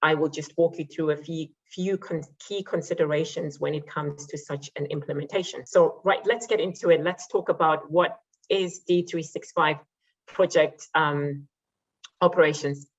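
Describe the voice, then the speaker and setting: Low-voiced woman presenting a conference session. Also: steady tone, normal speed. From Zimbabwe. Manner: conversational with frequent fillers. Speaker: conference speaker, solution architect. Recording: muffled lo-fi audio.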